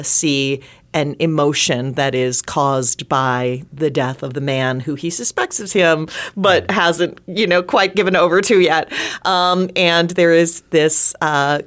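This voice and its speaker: A woman, a theater adapter, answering in an interview in an expressive storytelling way. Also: deep voice. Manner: expressive, acts out lines while storytelling.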